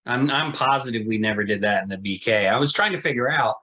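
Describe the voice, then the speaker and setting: A man's voice: medium pitch, fast, bright, forceful tone. A man from Texas co-hosting a casual chat, making joking, teasing asides. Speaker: co-host.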